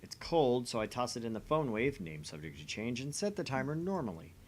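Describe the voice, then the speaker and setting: A man's voice: medium pitch, very animated, brisk, slight background noise, natural voice. A man voicing a theatrical, grumbling young mad scientist, sardonic and dramatic, in a visual novel read-through.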